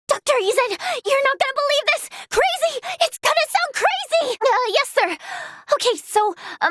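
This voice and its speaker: A woman playing an eager, kind-hearted young female healer in a fantasy video game, earnest, expressive and girlish in manner. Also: very high voice, dry recording, studio quality. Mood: excited, urgent. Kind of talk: video game character acting.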